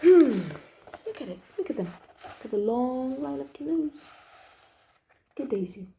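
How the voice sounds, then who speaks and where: A woman reading a children's story aloud in an expressive, emphatic manner, drawing out key words and adding little asides to listeners. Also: high voice, echoey room, a little hiss or hum. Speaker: storytime channel host reading children's books.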